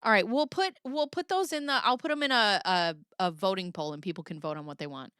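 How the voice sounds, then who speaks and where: Bright, laughing Midwestern woman streamer. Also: medium pitch, fairly quick, smooth, no room sound, studio quality. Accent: Midwestern American. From American Midwest. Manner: chatty, laughs often, swears casually. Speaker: livestream host reading chat. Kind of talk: casual livestream chat.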